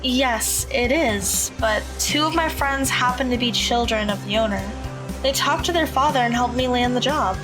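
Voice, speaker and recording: An actress playing a polite, earnest high school girl in an audio drama, with a measured, matter-of-fact delivery. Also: over music, natural voice, poor audio.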